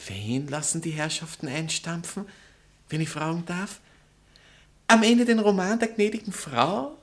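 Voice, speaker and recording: A man's voice: on the high side, unhurried, a bit bright. A proud male novelist in a satirical stage comedy. His manner is grandiloquent and formal. He stays ironically courteous while being defiant. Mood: ironic courtesy. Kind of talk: theatrical stage comedy dialogue.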